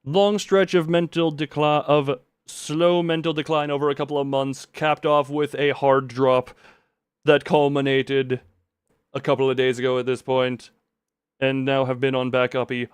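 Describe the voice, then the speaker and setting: A man's voice: a little high, moderate pace, clear, resonant tone, no echo. A man chatting casually on a gaming livestream and reading game notes aloud, rambling, easily sidetracked and stumbling over words. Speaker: live streamer.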